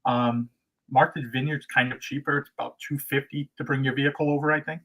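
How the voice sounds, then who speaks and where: A man's voice: medium pitch, steady tone, fairly quick, fairly bright tone, poor audio. A man from the United States, a guest on a casual livestream, explaining things in a meandering way with many restarts. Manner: meandering, full of restarts and hedges.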